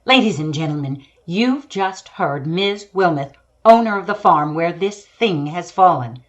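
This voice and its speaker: A woman's voice: fairly low pitch, lively intonation. Lady doing old-time 1930s radio reporter woman, earnest n dramatic, takes her time, dull lofi sound. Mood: urgent. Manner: dramatic, deliberate on-the-scene description. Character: radio news reporter, woman, earnest, describing a strange crash scene. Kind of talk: 1930s radio newscast acting.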